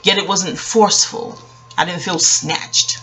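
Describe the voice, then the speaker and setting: Bright, halting woman telling a personal story. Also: fairly low pitch, fairly even intonation, poor audio. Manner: rambling, halting, self-correcting, with emphatic pauses. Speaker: vlogger. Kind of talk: casual reflective personal storytelling.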